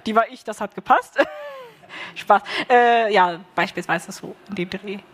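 A woman's voice: mid-range voice, a little slow. A woman speaking on a festival stage panel about her radio play, hesitant with many fillers. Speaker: workshop participant, radio play author. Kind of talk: panel discussion.

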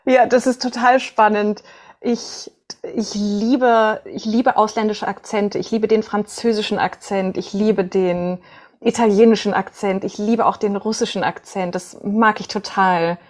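A woman's voice: mid-range voice, steady tone, a little slow, darkish tone. A woman from northern Germany, a voice coach and singer, answering conversationally as a podcast guest.

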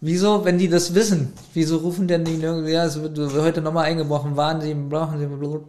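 A man with a slightly raised voice co-hosting a relaxed chat show. He reads from his notes in an easygoing way and keeps interrupting himself with asides. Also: fairly high pitch, fast. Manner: chatty, reads aloud from notes with side comments. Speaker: podcast co-host. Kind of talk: casual chat, reading a plot summary aloud.

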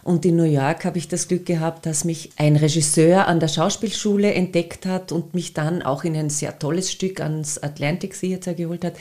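A woman's voice: fairly low pitch, fairly even intonation, normal speed, hardly any pauses, darkish tone. A middle-aged woman with an Austrian accent, answering conversationally as an interview guest. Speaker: podcast guest, actress and singer.

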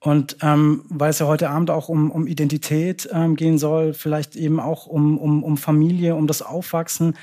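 Male moderator on stage, slightly high voice, hesitant and filler-heavy. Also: little pitch movement, moderate pace, hardly any pauses, mellow. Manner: hesitant, many fillers and restarts. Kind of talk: live stage interview. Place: cultural centre stage with audience.

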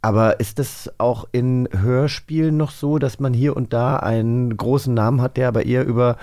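A man's voice: mid-range voice, steady tone, moderate pace, dark tone. A man hosting a casual interview. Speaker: host.

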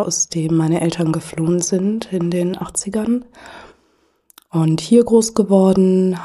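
A middle-aged woman speaking as a podcast guest, thoughtful and hesitant with frequent pauses and fillers. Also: on the low side, warm tone. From Germany. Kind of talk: reflective conversational interview.

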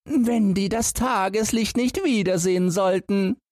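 A man's voice: high-pitched, unhurried, darkish tone, no room sound, studio quality, voice pushed up. A man voicing a pitying innkeeper's wife in a fairy tale, admonishing and lamenting. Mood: worried pity. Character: pitying innkeeper's wife, shushing her husband.

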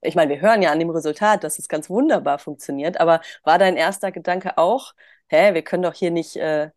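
A woman's voice: lowish voice, fairly quick, a little mellow, dry recording, clean studio sound. A woman hosting a podcast interview, asking friendly, curious questions. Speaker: podcast host. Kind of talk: casual interview.

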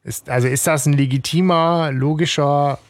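A man's voice: a little high, fairly even intonation, normal speed, no room sound. A man co-hosting a fan podcast, chatting casually about a radio play episode and steering the talk along. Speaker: podcast co-host.

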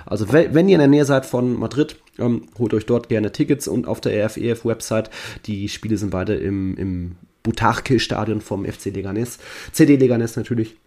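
Mellow-voiced man talking football. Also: lowish voice, brisk. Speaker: football podcast co-host. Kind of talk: casual football podcast talk.

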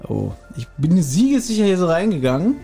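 A man co-hosting a casual podcast, thinking aloud in quick bursts while he guesses. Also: high voice, very animated, gravelly, warm tone, faint music behind. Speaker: podcast co-host guessing episodes.

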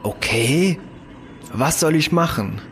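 An actor playing an exasperated, sarcastic fugitive who swears and mutters, in a sci-fi audio drama. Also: male voice, fairly high pitch, animated, slow pace, fairly bright tone, faint music behind. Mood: urgent, wry. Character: harried, cursing male fugitive who peppers in French.

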